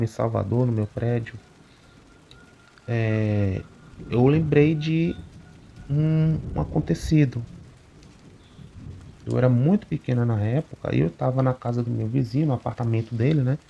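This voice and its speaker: A man tells a supernatural story in the first person, unhurried and chatty, often tagging on little questions. His mid-range voice has a gravelly fry to it. The recording is slightly muffled, with faint rain falling behind him. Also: medium pitch, warm tone, a little hiss or hum. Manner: conversational, unhurried, with frequent tag questions. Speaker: channel narrator reading a listener's account.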